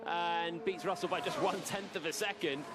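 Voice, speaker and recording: A man co-commentating a live motor race in an animated, opinionated way, with racing engines behind him. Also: high voice, normal speed, heavy background noise. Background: racing car engines.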